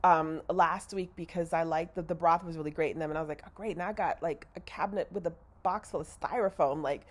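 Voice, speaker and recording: A woman's voice: low-pitched, fairly even intonation, brisk, few pauses. Nasal, congested woman rambling in a video diary. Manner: rambling, self-correcting, candid. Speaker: vlogger.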